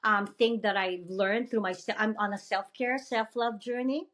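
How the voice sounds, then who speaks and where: A woman's voice: medium pitch, fairly even intonation, fairly bright tone. Woman, Filipino accent, podcast host, casual, enthusiastic, filler words. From Philippines. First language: not English. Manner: enthusiastic, quick to agree, many fillers. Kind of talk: casual interview chat.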